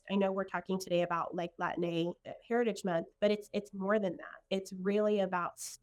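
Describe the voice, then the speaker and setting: A woman's voice: on the low side, darkish tone. A middle-aged woman from El Salvador, sharing personal stories in an earnest, reflective conversation. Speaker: guest in a conversation.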